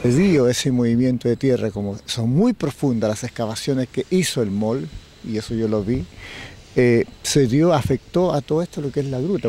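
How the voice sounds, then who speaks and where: A man's voice: mid-range voice, dark tone, a little hiss or hum. An older man, a parish priest interviewed outdoors, rambling and groping for words into handheld microphones. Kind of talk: street interview. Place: outdoors by a garden grotto. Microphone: handheld reporter microphones.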